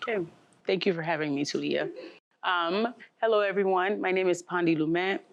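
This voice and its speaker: A woman who is a talk show guest and works in higher education, speaking thoughtfully and checking in with the listener. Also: fairly quick, gravelly, dull audio. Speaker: panel guest. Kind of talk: casual discussion.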